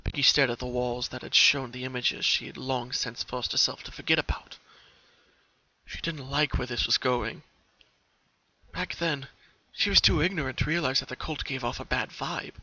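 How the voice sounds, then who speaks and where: A man's voice: medium pitch, sharp tone. A man giving a dramatic scripted narration as a fan fiction reader. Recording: dull, muffled home recording.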